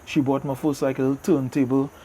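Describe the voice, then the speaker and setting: Middle-aged male guest, Trinidadian accent, very quick pace, casual reminiscing, noisy background. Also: medium pitch, level tone, mellow. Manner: informal, peppered with "yeah man" and "you know". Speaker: interview guest, DJ and singer.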